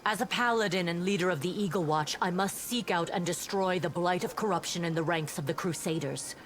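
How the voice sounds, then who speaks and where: An actress playing an earnest, dutiful female paladin officer in a fantasy game, speaking in a formal, measured reporting manner. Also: lowish voice, a little hiss or hum, lightly acted. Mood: troubled, resolute. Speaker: game character reporting to a commander. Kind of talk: voice-acted fantasy game dialogue. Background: music.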